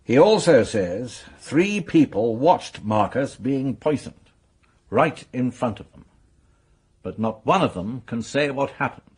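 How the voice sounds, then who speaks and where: Serious police major, mid-pitched husky voice, measured radio drama delivery. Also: male voice, expressive, a little mellow, full character voice. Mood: grave, shaken. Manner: measured, serious, matter-of-fact. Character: sober, businesslike male police major briefing colleagues. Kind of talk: radio mystery drama dialogue.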